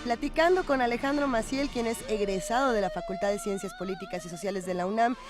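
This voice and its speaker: A woman's voice: fairly high pitch, fast talker, over music. A woman with a Mexico City accent hosting a magazine show, interviewing in a conversational way. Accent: Mexico City Spanish.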